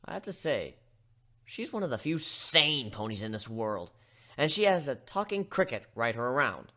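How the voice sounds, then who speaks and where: A man narrates a story in the first person as an amateur dramatic reader. His delivery is expressive and highly varied in pitch, moving between plain telling and character voices. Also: normal speed.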